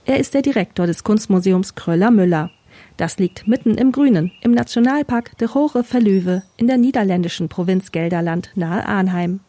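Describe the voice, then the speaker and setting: A woman's voice: medium pitch, normal speed, warm tone, no echo. A woman working as a radio reporter, reading scripted narration.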